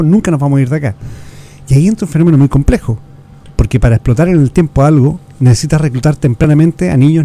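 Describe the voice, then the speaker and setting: Chilean male guest expert, quick, dark-toned, earnest explainer. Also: on the high side. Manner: earnest, lecturing, restarts words, uses rhetorical questions. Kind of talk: explanatory interview talk.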